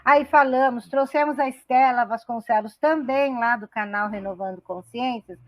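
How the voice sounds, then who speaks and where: Woman, middle-aged, talk show host, chatty and eager, fairly high voice, dry sound. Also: normal speed, no room sound. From São Paulo state, Brazil. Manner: chatty, enthusiastic, laughs easily, many restarts. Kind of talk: web radio talk show.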